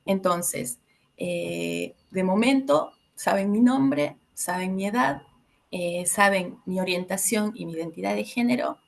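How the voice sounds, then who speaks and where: A woman from Ecuador in her thirties addresses a group in a reflective workshop talk. Her mid-pitched voice has a gravelly, fry-tinged texture. She often pauses with small fillers as she searches for words. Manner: reflective, many filler hesitations. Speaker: workshop facilitator.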